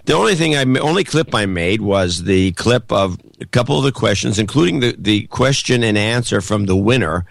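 Hoarse, gravelly man nearly shouting. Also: lowish voice, expressive, brisk, hardly any pauses, no echo. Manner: loud, pushing air, close to shouting. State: hoarse from a cold. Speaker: podcast co-host. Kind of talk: opinionated casual chat.

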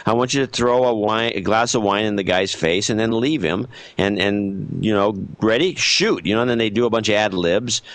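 A man with a fairly low voice holds forth in loose podcast banter, opinionated and animated as he spins his points. The sound is dry and close, with no room around it. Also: moderate pace, hardly any pauses, dry recording. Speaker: podcast co-host. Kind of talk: casual chat.

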